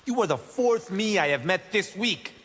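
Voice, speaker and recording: An actor playing a boastful, brash young warrior monk in video game banter. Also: male voice, sits high, expressive, moderate pace, a bit bright. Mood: cocky. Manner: proud, brash, self-assured. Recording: long hall reverb, clear background noise.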